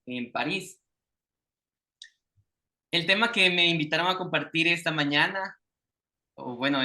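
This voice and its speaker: A man's voice: on the high side, bright, forceful tone. A man from El Salvador with a Salvadoran Spanish accent, giving a formal, earnest prepared presentation as an invited speaker over a video call.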